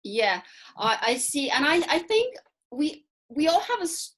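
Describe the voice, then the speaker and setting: A woman's voice: sits high, big pitch swings, unhurried, a bit bright. Encouraging woman interviewer. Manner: encouraging, prompting with repeated words. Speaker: interviewer, host. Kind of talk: casual interview questions.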